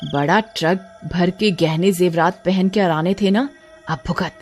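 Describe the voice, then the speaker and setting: A woman's voice: on the low side, measured pace, soft background music, natural voice. A woman voicing a nervous, kind-hearted young married woman in a horror storybook tale, anxious and muttering. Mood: nervous, self-scolding.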